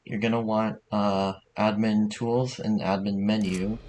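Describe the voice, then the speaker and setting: A man narrating a software tutorial in an informal, somewhat hesitant way. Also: fairly low pitch, level tone, a little slow, a little hiss or hum. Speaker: tutorial host.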